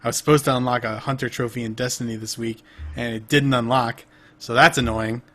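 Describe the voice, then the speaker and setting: A man's voice: mid-range voice, steady tone, fairly quick, fairly bright tone. A man co-hosting a podcast, chatting casually about games over a remote call, rambling with lots of fillers and restarts. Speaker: podcast co-host. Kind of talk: casual gaming chat.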